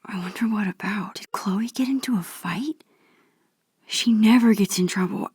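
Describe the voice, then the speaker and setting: A woman voicing a shy, thoughtful young American teenage girl in a video game, in a soft, breathy, musing inner-monologue voice. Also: medium pitch, normal speed, no echo, clean studio sound. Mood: curious, fond. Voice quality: breathy, hushed.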